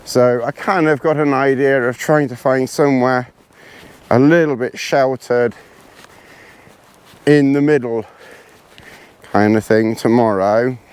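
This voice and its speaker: A hoarse, congested man chatting casually to camera while hiking outdoors in the hills, rambling and poking fun at himself. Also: medium pitch, steady tone, unhurried, long pauses, a little mellow. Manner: rambling, self-deprecating, chatty. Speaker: vlogger.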